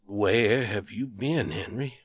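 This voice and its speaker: A man voicing a mortally wounded soldier in a storybook reading, dull and hushed, halting, dazed and pleading. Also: fairly low pitch, slightly rough, pitched below the performer's own voice. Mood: weak, dazed. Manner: halting, slow, trailing off. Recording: roomy, dull recording.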